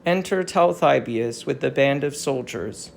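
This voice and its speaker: An audiobook reader announces the speakers and directions of a play. The pace is slow and the voice creaks. Faint noise is in the recording. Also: medium pitch, expressive. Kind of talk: scripted reading of play, speaker names and directions.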